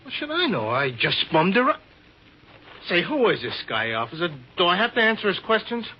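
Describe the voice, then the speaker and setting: A man's voice: sits high, big pitch swings, fairly quick. An American man acting a jumpy, evasive working-class hitchhiker in a 1950s radio drama, with slangy speech. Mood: defensive. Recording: dull, slightly noisy old radio recording.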